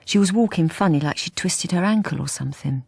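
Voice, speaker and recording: An actress playing a troubled middle-aged British wife who speaks hesitantly and confidingly in a radio drama. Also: female voice, on the low side, a little fast, gravelly, a little mellow, breathy edge, dry recording. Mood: wry, confiding. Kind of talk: intimate first-person radio drama narration and dialogue.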